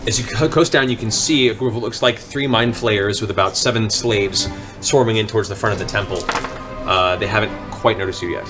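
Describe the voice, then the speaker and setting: A man's voice: lowish voice, brisk. A man narrating a tabletop fantasy game as game master, in a dramatic, descriptive way. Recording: music bed under the voice. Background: background music.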